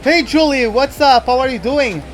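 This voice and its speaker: A man's voice: extremely high pitch, normal speed, soft background music. A young man whose first language is Portuguese, rambling casually in English while playing a racing game, with many fillers and restarts. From Portuguese-speaking country. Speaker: gaming YouTuber. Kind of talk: casual let's-play commentary.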